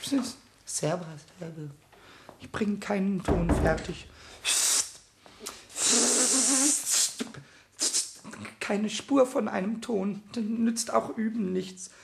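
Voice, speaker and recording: A man voicing a small, cheeky, whiny kobold in a children's radio play, squeaky and stammering with eagerness as he pleads and repeats his words. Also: slow pace, very noisy, heavily acted. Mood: dejected. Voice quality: squeaky, creaky cartoonish voice.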